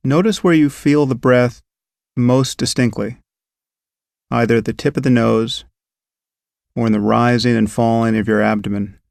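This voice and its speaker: A man calmly guiding a meditation, giving slow, gentle instructions about breath and sensation. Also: mid-range voice, creaky, dry recording, studio quality. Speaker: meditation guide. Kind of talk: guided meditation.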